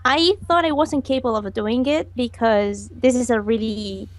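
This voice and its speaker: A woman's voice: a little high, normal speed, no echo. A woman animator answering interview questions, hesitant and giggly, with many fillers. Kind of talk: casual online interview.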